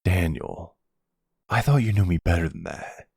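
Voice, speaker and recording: A man playing a suave middle-aged mafia boss in a boyfriend audio roleplay, gravelly, measured and wry, commanding but capable of tenderness. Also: low-pitched, lively intonation, a little mellow, dry recording, studio quality, heavily acted. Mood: stern, menacing. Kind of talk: ASMR boyfriend roleplay acting.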